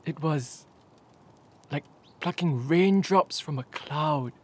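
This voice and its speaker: Slow-spoken, resigned bandit's slave. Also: male voice, a little high, a little mellow, husky. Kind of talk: audio drama acting.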